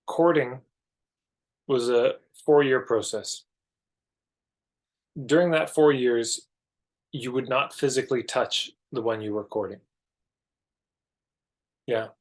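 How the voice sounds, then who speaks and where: A Canadian man with a mid-pitched voice speaks as a guest on a podcast. He explains his thinking conversationally and emphatically, often slipping into imagined dialogue to illustrate a point. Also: steady tone, slow pace, long pauses. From Alberta, Canada. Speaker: podcast guest, marketing coach.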